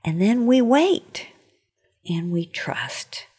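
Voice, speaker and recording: A woman's voice: medium pitch, sing-song, very slow, warm tone, slightly rough. A woman speaking expressively in a devotional talk for children, posing questions as she explains. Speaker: author giving a short lesson.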